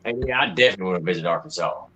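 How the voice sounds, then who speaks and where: A man's voice: sits low, racing, bright, forceful tone, a little hiss or hum, low-quality recording. A man chatting casually as a co-host on a group live stream.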